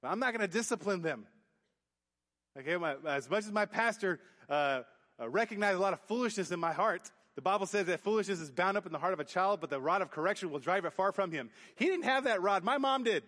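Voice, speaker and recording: A youth pastor preaching a sermon in church, booming then dropping to quiet emphasis. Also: male voice, expressive, fast. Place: church sanctuary. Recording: long hall reverb. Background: hall reverb.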